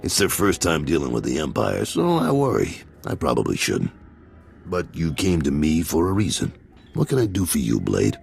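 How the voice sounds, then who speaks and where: Very deep, gravelly gangster. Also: male voice, wildly swooping pitch, normal speed, faint music behind, full character voice. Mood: confiding, businesslike. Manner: folksy, blunt, informal. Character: gruff, weary crime boss's lieutenant, worldly father. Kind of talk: video game dialogue.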